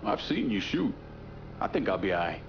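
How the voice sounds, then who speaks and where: A man playing a grim, stoic young war veteran turned crime boss in a video game, speaking tersely and low-key. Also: big pitch swings, normal speed, gravelly, highs cut off, noisy background, full character voice. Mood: guarded. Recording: music behind the voice.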